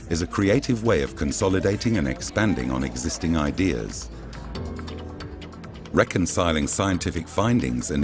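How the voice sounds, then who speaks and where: Deep-voiced man narrating a documentary. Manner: measured, formal and informative. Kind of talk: scripted promotional voice-over. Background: music bed.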